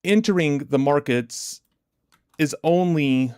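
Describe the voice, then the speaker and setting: A man hosting a livestream as a motivational trading coach, deliberate and emphatic, his chest a little congested from a recent illness. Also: a little high, darkish tone, clear, resonant tone, no echo, clean studio sound. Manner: deliberate, emphatic, repetitive rhetorical questions. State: chesty, getting over bronchitis, clearing throat.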